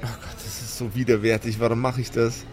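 A man's voice: mid-range voice, level tone, brisk, a little mellow, faint music behind. A young man acting as game master in an improvised audio play, narrating animatedly with frequent restarts. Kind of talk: improvised narration and table chat.

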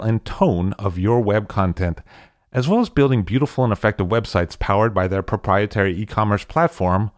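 A man's voice: on the low side, dry recording, clean studio sound. A man reading a scripted sponsor message. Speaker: sponsor announcer. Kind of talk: scripted ad read.

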